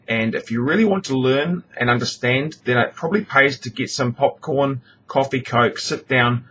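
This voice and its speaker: A man's voice: mid-range voice, a bit bright. A man with a mid-range voice narrates a hands-on tutorial in a casual way. He explains each step carefully. The homemade recording carries a faint layer of background noise. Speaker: knife maker presenting a tutorial. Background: noticeable background noise.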